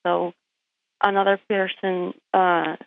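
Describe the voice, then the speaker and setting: A woman's voice: fairly low pitch, level tone, slow pace, vocal fry, smooth. A woman with a Puerto Rican accent, whose first language is Spanish, is a guest on an interview by phone. She makes her case directly and with emphasis, often asking rhetorical questions. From Puerto Rico. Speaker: truck driver, interview guest. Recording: tinny phone line.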